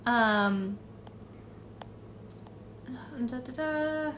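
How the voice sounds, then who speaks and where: A woman's voice: a little high, drawn-out words, fairly bright tone, slight background noise. A young woman from Los Angeles, a voice actress casually answering fan questions on a live stream, reading comments aloud with lots of fillers.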